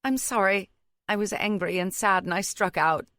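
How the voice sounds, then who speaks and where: A woman's voice: medium pitch, moderate pace, a bit bright, pitched above the performer's own voice, close to an everyday voice. A woman voicing a wounded, tearful young wife who pleads and defends herself in an audiobook story. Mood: contrite, panicky. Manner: anguished, defensive, pouring out words.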